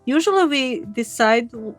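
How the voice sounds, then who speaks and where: Woman, slightly high voice, casual interview chat, self-deprecating, light music behind. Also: expressive, moderate pace. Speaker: guest, indie game developer and artist.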